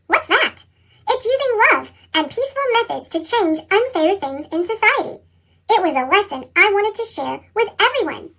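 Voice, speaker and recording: A reader with a squeaky, pitched-up chipmunk effect, narrating a children's picture book and acting out the dialogue expressively. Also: heavily acted. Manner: expressive storytelling, acts out dialogue.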